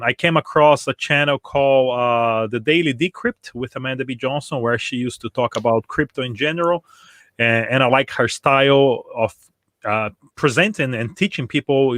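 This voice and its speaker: A man from Brazil, a guest in an interview, telling his story in a relaxed way and often repeating words as he starts. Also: mid-range voice, no room sound. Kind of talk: casual interview.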